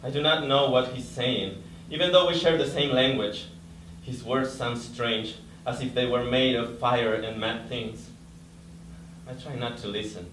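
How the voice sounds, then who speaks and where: Distant echoey room, faint noise, male actor as numb suffering captive, measured stage delivery. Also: medium pitch, a bit bright, natural voice. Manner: short, plain, measured sentences. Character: suffering bound prisoner, numb and stoic. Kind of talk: staged dramatic reading, monologue. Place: live staged reading indoors.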